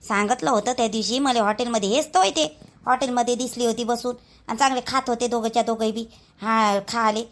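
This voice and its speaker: A woman playing a sharp-tongued relative who taunts and accuses. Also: on the high side, gravelly. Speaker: actor in a family drama skit.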